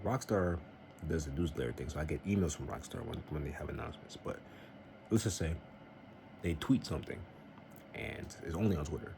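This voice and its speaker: Very deep-voiced man, earnest vlog talk. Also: vocal fry. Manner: earnest, rambling, preachy. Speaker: vlogger. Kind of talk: casual faith-based vlog talk. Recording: noisy home recording.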